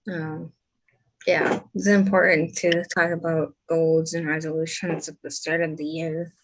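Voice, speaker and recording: A woman hosting a group video call, speaking hesitantly with many pauses. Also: low-pitched, little pitch movement, noisy background. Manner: slow, hesitant, many ums. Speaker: meeting host. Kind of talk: casual group discussion.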